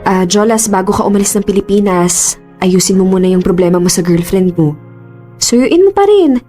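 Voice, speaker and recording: A woman's voice: mid-range voice, expressive, moderate pace, mellow, lightly acted. An actress playing a composed but caring young female office boss in a Filipino radio drama, speaking earnestly with some English mixed in. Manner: earnest, conversational, mixes English into speech. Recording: music under the voice. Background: background music.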